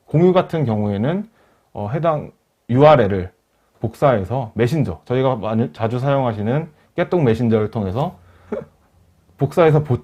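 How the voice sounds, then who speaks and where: A man gives an explanatory software demonstration in a medium-pitched voice. His speech is polite and formal, like a presenter walking through each step, and the audio is slightly dull and band-limited. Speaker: webinar presenter. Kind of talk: software demonstration, part rehearsed narration.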